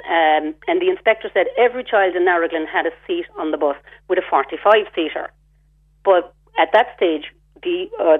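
Irish mum ringing in to radio, upset, rattles through the details quick, phone sound. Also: female voice, on the low side, slightly rough. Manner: aggrieved, detailed, retells her exchanges. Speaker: caller. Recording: phone line.

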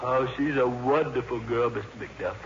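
A man's voice: mid-range voice, moderate pace, natural voice. An actor playing an eager, naive, talkative teenage boy in a 1950s radio drama, with a gushing, awkward manner. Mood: earnest, awkward. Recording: muffled, reverberant, noisy old radio recording.

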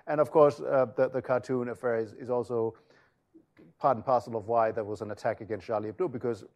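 A man, an author speaking on a panel, making his case in an earnest, analytical way and often pausing to find his words. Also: mid-range voice, steady tone, darkish tone. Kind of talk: panel discussion answer.

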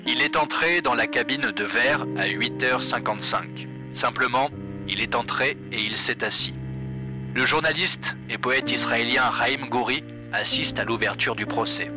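An actor gives a formal radio reporter reading with announcer-like poise. His voice sits mid-range, with music playing clearly beneath. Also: medium pitch, measured pace, natural voice. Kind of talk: scripted news-style narration.

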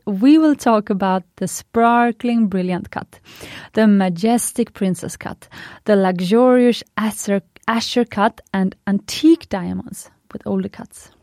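A woman's voice: medium pitch, measured pace, darkish tone, no room sound. Woman, Swedish native speaker, podcast host, enthusiastic, punny, dry close sound. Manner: chatty, enthusiastic, fond of puns. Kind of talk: scripted podcast monologue.

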